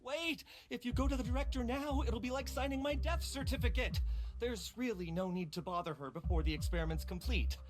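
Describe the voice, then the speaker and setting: A man's voice: very high-pitched, a little fast, faint music behind. A man playing an arrogant male researcher in a video game, haughty and whiny, prone to stammering when rattled. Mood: panicked. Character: arrogant, easily flustered male lab researcher.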